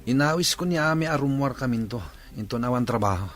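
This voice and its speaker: A man's voice: mid-range voice, expressive, quick pace, a bit bright, faint music behind, natural voice. A man playing a dejected young boyfriend confiding his troubles in a radio drama. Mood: troubled.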